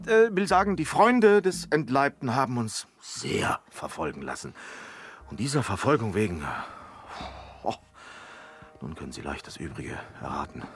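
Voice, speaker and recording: An actor playing a talkative, bumbling manservant who stammers and blusters theatrically through invented lies in a radio play. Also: male voice, medium pitch. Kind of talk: German radio play acting of classic comedy.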